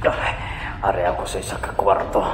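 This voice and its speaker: A man with a Western Visayan Filipino accent playing a brooding, vengeful captive in an audio drama, muttering haltingly to himself. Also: sits low, monotone, natural voice. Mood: uneasy, brooding. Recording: echoey room sound.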